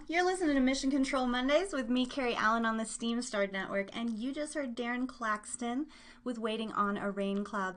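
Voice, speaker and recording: A woman's voice: a little high, pushed and bright. A woman hosting a live music show, chatting casually and informally with her listeners. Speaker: radio show host.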